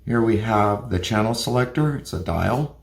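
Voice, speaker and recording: A man's voice: lowish voice, expressive, moderate pace, vocal fry. A man giving a hands-on gadget review, explaining features plainly and methodically, step by step. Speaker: product reviewer.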